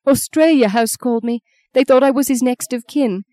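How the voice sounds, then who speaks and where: A woman narrator plays a distraught grown daughter in a storybook drama. The voice is pushed high and loud with grief, close to sobbing, pleading and blaming herself. The sound is close and dry. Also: steady tone, normal speed, no room sound, natural voice. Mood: anguished, bitter. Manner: pleading, emotional outbursts. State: anguished, tearful, sobbing. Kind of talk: dramatic audiobook character voice.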